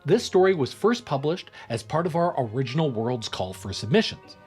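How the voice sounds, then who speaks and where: A man's voice: a little high, big pitch swings, moderate pace, a little mellow, husky, soft background music. A man hosting an audiobook channel, reading scripted credits and thanks to listeners. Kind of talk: scripted outro announcement.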